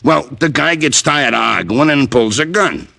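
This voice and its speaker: Gravelly, surly dive bartender. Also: male voice, fairly high pitch, animated, moderate pace, bright, forceful tone, dry recording, studio quality, full character voice. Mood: matter-of-fact. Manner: tough slangy street talk. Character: surly, greedy male dive-bar bartender. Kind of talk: old-time radio crime drama acting.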